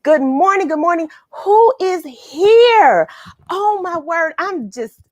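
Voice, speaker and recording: A woman hosting a live read-along for children, chatting with viewers in an upbeat, excited manner. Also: extremely high pitch, slow, no room sound.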